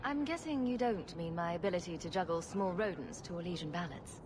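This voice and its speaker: A woman playing a sarcastic, quick-witted rogue adventurer with a flippant manner in a video game. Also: fairly low pitch, background noise, close to an everyday voice. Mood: wry. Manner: wry, flippant. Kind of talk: video game dialogue acting.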